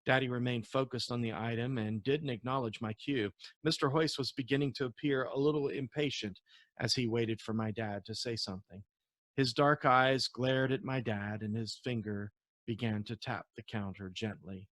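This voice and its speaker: A man's voice: mid-range voice, fairly even intonation, normal speed, a bit bright, dry recording. Man reading a story aloud, dry sound. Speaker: author reading from own novel. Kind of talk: storybook read-aloud narration.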